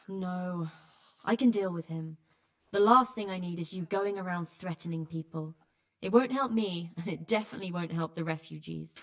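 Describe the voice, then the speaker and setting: An actress playing a weary, blunt healer who tends refugees, with dry exasperation, in a dramatic video game. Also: female voice, lowish voice, normal speed, natural voice. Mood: indignant, guarded. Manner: exasperated, dry, plain-spoken.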